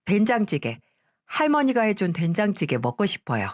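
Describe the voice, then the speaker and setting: A woman's voice: medium pitch, big pitch swings, normal speed, no room sound, clean studio sound, natural voice. A woman voicing a young grandson who politely and sweetly asks his grandmother for food, in a story read aloud. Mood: eager.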